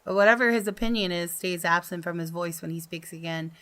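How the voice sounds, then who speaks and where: A woman who hosts gaming videos, reading visual novel narration aloud in an expressive storytelling manner. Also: lowish voice, fairly quick, clean tone.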